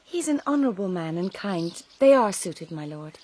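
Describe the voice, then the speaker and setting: An actress playing a frank, dignified young gentlewoman, speaking formally and with composure in a period radio drama. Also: fairly low pitch, sing-song, moderate pace. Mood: composed.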